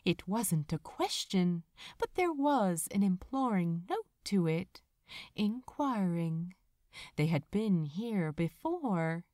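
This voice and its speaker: A woman's voice: fairly low pitch, very animated, takes it slowly, mellow, dry recording. Woman narrator, audiobook fiction, very varied intonation, dramatic and tender, close dry sound. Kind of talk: expressive scripted story narration.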